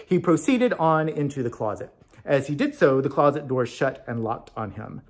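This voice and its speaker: A man reading a storybook tale aloud as narrator, in an expressive scripted style. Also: mid-range voice, moderate pace. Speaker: story reader.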